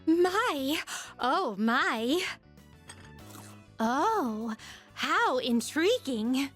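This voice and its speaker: A woman's voice: high voice, big pitch swings, drawn-out words, fairly bright tone, soft background music, full character voice. A woman playing an elegant, formal and naively curious young lady in a video game, speaking in ornate, precise words. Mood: gracious, intrigued.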